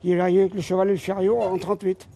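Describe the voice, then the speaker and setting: A man's voice: high-pitched, level tone, very fast, vocal fry, warm tone, a little hiss or hum. An older man with a Flemish accent, a native Flemish speaker, explaining in a plain-spoken way during an interview. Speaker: miller interviewee.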